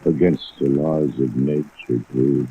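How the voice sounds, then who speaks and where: An actor playing a simple, pious dockside newspaper seller in a 1950s radio drama, with a gravelly voice and a halting, vague, solemn way of talking. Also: male voice, extremely low pitch, full character voice. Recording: muffled phone-like old radio recording with hiss. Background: steady rain.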